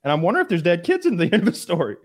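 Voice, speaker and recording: A man's voice: high-pitched, wildly swooping pitch, rapid-fire. A man hosting a casual podcast debate, talking bluntly with an incredulous, mocking manner. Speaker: podcast host. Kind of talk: casual argumentative podcast chat.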